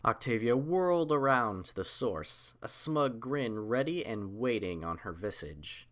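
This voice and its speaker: A man's voice: medium pitch, expressive, a little slow, fairly bright tone. A man reading scripted fiction aloud as the narrator of a story.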